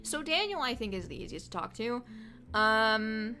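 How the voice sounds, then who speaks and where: A woman in her twenties chatting on a livestream, telling excited stories in a casual, rambling way. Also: on the high side, moderate pace, soft background music. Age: 20s.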